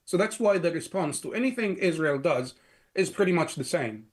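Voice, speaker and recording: A middle-aged man from Egypt speaks as an interview guest in a somewhat high register. He talks in long stretches, peppered with "you know" and false starts. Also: expressive, normal speed. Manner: talks in long runs with many "you know" fillers and restarts. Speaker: guest, security analyst. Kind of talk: interview answers.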